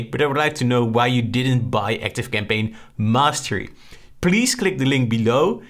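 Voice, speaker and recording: A man's voice: medium pitch, fairly bright tone. Upbeat Dutch-accented man explaining. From Netherlands. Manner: chatty, upbeat, lots of "like" and "right?". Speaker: online course creator. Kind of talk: casual screen-share tutorial.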